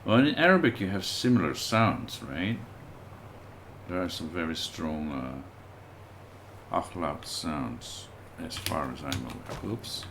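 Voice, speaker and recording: Low-voiced man narrating his gameplay. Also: slow pace, background noise. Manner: relaxed, thinks aloud and chats with viewers while playing. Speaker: livestreamer playing a video game. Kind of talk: casual let's-play commentary.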